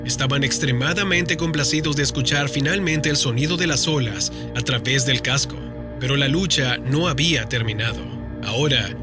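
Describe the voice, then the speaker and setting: A man reading a scripted documentary-style story as a narrator. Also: mid-range voice. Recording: music behind the voice. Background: background music.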